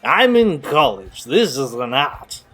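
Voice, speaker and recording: A man's voice: medium pitch, sing-song, takes it slowly, a bit bright, breathy edge, full character voice. A man playing a drunk, eager college partygoer in an audio drama, rambling and hesitant. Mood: proud, rambling. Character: really drunk, eager college-age male partygoer explaining games.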